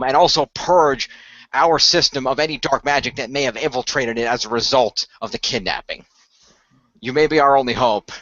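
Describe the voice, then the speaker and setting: A man playing an earnest young hero who gives solemn, halting instructions in an amateur radio play. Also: medium pitch, bright, forceful tone, dry recording, dull audio. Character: earnest young hero leaving a grave recorded message.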